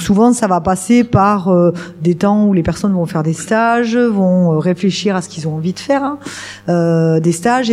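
A woman's voice: lowish voice, warm tone. A middle-aged woman, director of a work-integration garden, explaining its work in a radio interview with frequent hesitations and restarts. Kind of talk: radio interview guest explaining her organisation.

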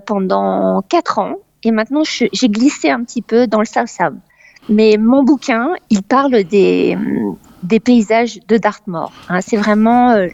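A woman from Paris, a novelist, talking in a lively, animated way in a conversational interview, with frequent hesitations. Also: on the high side, vocal fry, no room sound, dull audio. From Paris, France. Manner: animated, exclamatory, many hesitations. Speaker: novelist guest, former dental expert.

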